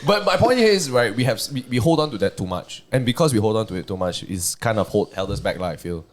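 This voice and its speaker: A young Malaysian man with a Malaysian English accent argues in a casual podcast chat. His low voice swoops up and down as he talks fast and with animated energy, and he keeps dropping "bro" and "lah". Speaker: podcast co-host.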